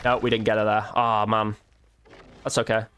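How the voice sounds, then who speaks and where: A man's voice: lowish voice, level tone, normal speed, a bit bright, slight background noise. A man giving casual gameplay commentary, informally thinking aloud as he plays. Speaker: gaming video creator.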